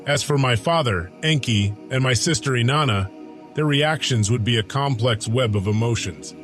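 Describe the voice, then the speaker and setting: A man's voice: medium pitch, fairly bright tone, close to an everyday voice. Man acting as a solemn, lovestruck god over music. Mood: grave, troubled. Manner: grave, formal, measured with weighty pauses. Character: lovestruck, brooding Anunnaki god, solemn and defiant. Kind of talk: dramatic first-person audiodrama monologue. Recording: music behind the voice.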